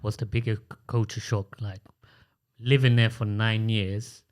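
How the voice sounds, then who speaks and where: A man hosting a podcast, asking halting questions in casual chat with many fillers and repeats. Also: lowish voice, little pitch movement. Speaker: podcast host.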